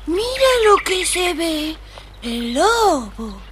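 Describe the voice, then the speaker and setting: A woman's voice: extremely high pitch, big pitch swings, very slow, background noise, heavily acted. A woman voicing a very fat, cocky little male pig in a children's story, childlike and boastful. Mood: defiant. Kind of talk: recorded children's story acting.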